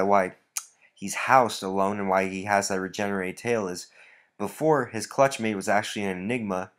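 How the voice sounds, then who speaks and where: A man's voice: fairly low pitch, a bit bright. A man with a stuffy nose, casually walking through his reptile breeding rack with many fillers and rambling asides. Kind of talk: casual walkthrough vlog.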